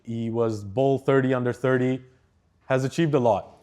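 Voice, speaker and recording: A man's voice: medium pitch, fairly even intonation, moderate pace, warm tone. A man hosting a university event, introducing the guest on stage with some hesitant fillers before his first question. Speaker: event host.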